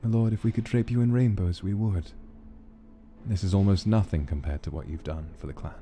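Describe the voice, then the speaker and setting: A man's voice: low-pitched, fairly quick, warm tone, soft background music. A man voicing devoted, grateful clan members who speak reverently to their lord. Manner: reverent and formal.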